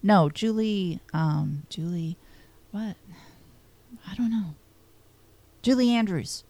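A woman's voice: mid-range voice, slow, warm tone. A woman co-hosting a podcast, casually answering quiz questions and thinking aloud. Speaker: podcast co-host and quiz contestant.

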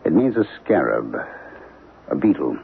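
Radio drama, troubled middle-aged professor: rock-bottom gravelly voice, brooding and terse, thin narrow-band broadcast sound. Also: male voice, extremely low pitch, very animated, measured pace, full character voice. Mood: grave, distant. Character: troubled, haunted archaeology professor, married man with grown children. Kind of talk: 1970s radio drama acting.

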